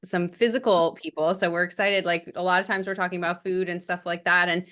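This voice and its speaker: Bubbly lady podcast host, lowish voice, lots of likes and ums. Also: steady tone, a little fast, fairly bright tone. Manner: bubbly, gushing, many fillers. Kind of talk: casual podcast chat.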